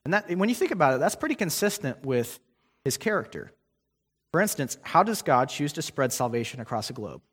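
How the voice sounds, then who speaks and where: A man's voice: mid-range voice, very animated, a little fast, fairly bright tone, breathy edge. A young man giving a guest sermon in a conversational way, with many ums and restarts. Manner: conversational preaching with frequent fillers and restarts. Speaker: guest preacher. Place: church sanctuary. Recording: long hall reverb.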